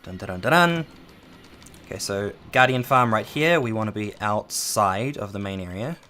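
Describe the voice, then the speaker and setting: A man's voice: fairly low pitch, lively intonation, normal speed, bright, forceful tone. A man streaming a game, chatting casually with lots of ums and trailing asides to his chat, on a desk microphone at home. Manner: rambling, many ums, reads and answers chat.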